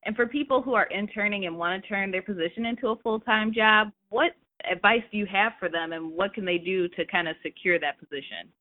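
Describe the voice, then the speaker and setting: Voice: mid-range voice, a little fast, few pauses. Podcast host asking questions over a phone line. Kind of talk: interview questions.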